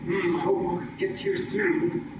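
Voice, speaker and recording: An actor playing an excitable, exasperated murder investigator, theatrical and exclamatory in old-time radio comedy style. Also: male voice, high voice, a little slow, exaggerated voice. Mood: questioning. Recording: very noisy, echoey live stage, distant.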